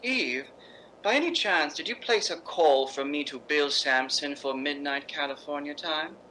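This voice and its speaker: A man's voice: fairly high pitch, measured pace, bright, forceful tone, slight background noise, poor audio. A man playing an imperious middle-aged stage actress in a 1950s radio drama, theatrical and arch, with sarcastic politeness. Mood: icily polite.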